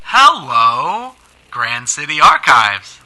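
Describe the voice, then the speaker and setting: An actress playing a patient, polite city archives clerk answering the telephone in an old time radio drama. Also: female voice, low voice, big pitch swings, very slow, heavy background noise, full character voice.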